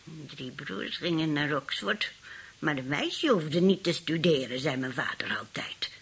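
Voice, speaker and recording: An actress playing a feisty, scheming old great-aunt in a radio play, husky and indignant, grumbling and outspoken. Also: female voice, sits low, expressive, measured pace, fairly bright tone, heavily acted. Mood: bitter, grumbling. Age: older.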